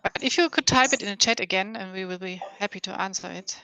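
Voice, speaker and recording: Bright-voiced woman answering online questions. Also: mid-range voice, fast talker, no room sound, low-quality recording. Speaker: programme presenter answering questions. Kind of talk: informal online Q&A. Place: online video conference call.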